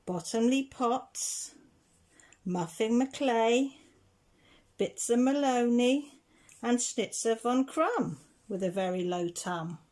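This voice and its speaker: A woman reading a children's rhyming picture book aloud with measured, deliberate phrasing. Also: a little high, expressive, a little mellow. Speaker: reader of children's picture books. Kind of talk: storybook read-aloud.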